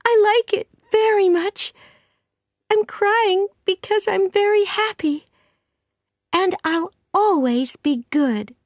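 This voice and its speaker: Little orphan girl for a storybook, super high voice, excitable and fiery, emphatic. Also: slow, no room sound, clean studio sound. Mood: tearfully happy.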